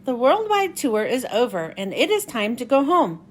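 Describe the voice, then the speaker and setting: Woman, children's read-aloud host. High, very animated delivery, expressive storybook narration. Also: normal speed, slight background noise. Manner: expressive, clearly addressed to young children.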